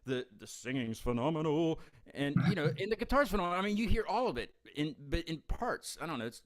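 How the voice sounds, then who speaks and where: A man's voice: fairly high pitch, very animated, fast, no echo. A man hosting a casual video-call interview, stammering and repeating words with eager enthusiasm. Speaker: host of a live music show. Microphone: headphones with mic on a video call.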